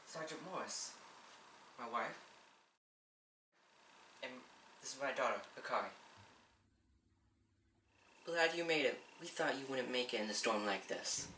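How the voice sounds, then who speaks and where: A man's voice: mid-range voice, lively intonation, a little slow, long pauses, sharp tone. A young man in an amateur school play acting a genial, stubborn middle-aged father and husband. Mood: welcoming. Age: young adult. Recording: echoey, noisy room, distant mic.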